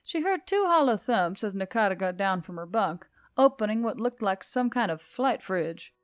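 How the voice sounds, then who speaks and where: A woman reading a story aloud as an expressive narrator. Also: medium pitch, normal speed, no room sound, studio quality. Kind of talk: expressive audiobook narration of fiction.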